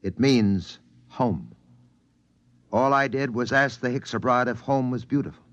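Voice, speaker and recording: An actor playing a calm, shrewd, plain-spoken spacefaring man in a 1950s radio drama. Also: medium pitch, a little slow, dry recording, lightly acted. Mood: calm, knowing. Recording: dull, dry vintage radio recording.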